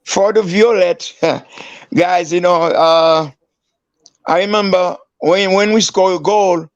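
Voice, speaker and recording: An older man from Haiti, a former footballer, telling stories in an interview with many halting restarts and fillers. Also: high-pitched, fairly even intonation, dry recording, highs cut off. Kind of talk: storytelling interview.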